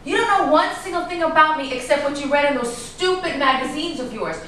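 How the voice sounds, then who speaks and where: A woman's voice: high-pitched, lively intonation, fairly quick, pushed and bright. A woman playing a defiant, guarded actress in a staged drama reading, with a sarcastic, cutting delivery. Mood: indignant. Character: defiant, guarded actress in love with a suspected man. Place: echoey room at a staged reading. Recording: echoey room, distant mic, noisy background.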